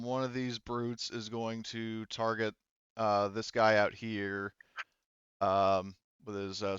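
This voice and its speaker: Male game master, casual and halting, deep clean tone on dry, slightly muffled audio. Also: clear, resonant tone, no echo. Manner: casual, profane. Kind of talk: narrating a tabletop roleplaying game.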